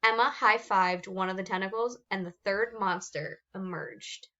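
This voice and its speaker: A woman reading a children's picture book aloud with expressive narration. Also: mid-range voice, moderate pace, lo-fi sound. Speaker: storybook reader.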